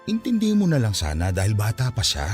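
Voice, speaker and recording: A man's voice: lowish voice, wildly swooping pitch, quick pace, darkish tone, soft background music. A man playing a patient, peacemaking father and husband with a Filipino accent, placating and pleading in a radio drama. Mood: pleading, reasoning.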